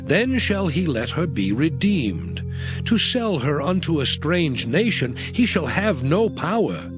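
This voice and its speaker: An actor playing a solemn biblical prophet and lawgiver, declaiming scripture in a measured dramatized reading. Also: male voice, on the high side, sing-song. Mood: measured, stern. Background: music.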